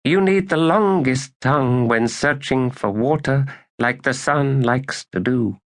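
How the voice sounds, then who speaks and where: Man voicing a solemn, dreamy young girl poet, pitched up. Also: close to an everyday voice. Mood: solemn chanting. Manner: slow, incantatory, verse-like delivery.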